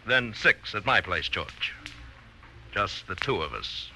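Polite but pointed hunter in an old radio play, deliberate, lowish and super crisp-edged, slight hiss. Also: male voice, lively intonation, pushed and bright, soft background music, full character voice. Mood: smooth, insistent. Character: controlled, outwardly friendly man hunting his blackmailer. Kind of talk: old-time radio drama acting. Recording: faintly noisy, thin old radio recording.

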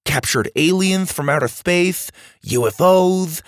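A man voicing an excitable, stubborn boy in a children's story, eager and argumentative. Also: high voice, takes it slowly, no room sound, clean studio sound, pitched above the performer's own voice. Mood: eager, insistent. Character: excitable boy obsessed with aliens, scoffing and stubborn.

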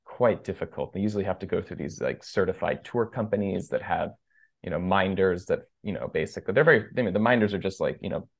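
A man's voice: deep voice, quick pace, creaky. A man in his thirties, raised between two countries and a native Persian speaker, chatting as a podcast guest in a loose, rambling way full of fillers and restarts. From United States. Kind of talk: casual interview conversation.

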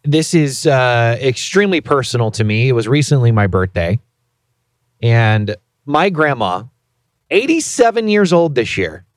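A man's voice: medium pitch, very animated, unhurried, no echo, clean studio sound. A man in his thirties co-hosting a casual podcast, telling a story in a playfully indignant way. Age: 30s. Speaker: podcast co-host.

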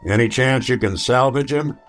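An actor playing a world-weary, hard-boiled male detective in an old-time radio drama, speaking tersely and deliberately. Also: medium pitch, soft background music, lightly acted. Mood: terse, wry.

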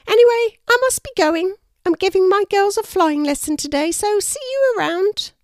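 Storybook bird mum acted by a woman: bustling, kindly kookaburra, pitched up and lively. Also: normal speed, dry recording, clean studio sound. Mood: cheery, hurried. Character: brisk, kindly mother kookaburra. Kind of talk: children's storybook character voice.

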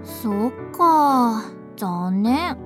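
A woman playing a cheerful, pushy teenage schoolgirl in a radio drama, animated and girlish in her delivery. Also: on the high side, extremely slow, music in the background. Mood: friendly.

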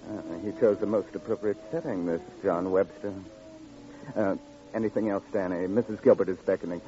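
A man's voice: deep voice, steady tone, moderate pace, mellow, natural voice. An actor playing a suave, flippant ladies' man with a pompous, florid, mocking manner in an old radio drama. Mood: smug, mocking. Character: suave, flippant gigolo running a lonely hearts club. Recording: dull old radio recording.

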